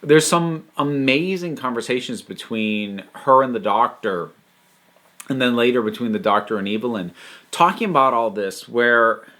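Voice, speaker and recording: A man hosting a casual, unscripted review, talking emphatically and thinking aloud with halting, digressive phrasing. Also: mid-range voice, sharp tone. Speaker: host reviewing audio dramas.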